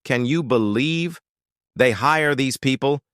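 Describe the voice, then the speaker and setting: A man doing a sneering, loud rich diner. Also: mid-range voice, slow pace, clear, resonant tone, no echo, clean studio sound, pitched above the performer's own voice. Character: arrogant rich male diner, loud and mocking.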